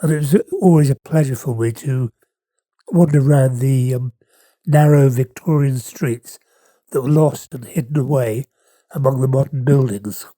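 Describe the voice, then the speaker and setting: A man's voice: medium pitch, normal speed, dark tone, no room sound. A wheezy man with a chest infection, a guest author chatting thoughtfully in an interview with some hesitations. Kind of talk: casual interview chat.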